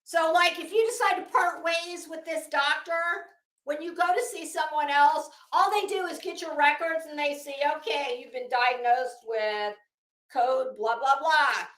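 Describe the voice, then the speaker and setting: A woman's voice: high voice, measured pace, a bit bright. A woman giving unscripted, opinionated legal commentary in an emphatic, sarcastic, exasperated way, swearing freely and often repeating words as she goes. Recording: roomy, echoing room sound.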